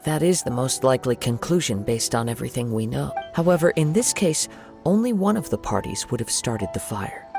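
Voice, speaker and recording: Composed young swordsman, mid-pitch, even, faint music behind. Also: gravelly. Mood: thoughtful. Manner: calm, measured, thoughtful. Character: calm young wandering samurai man, poetic.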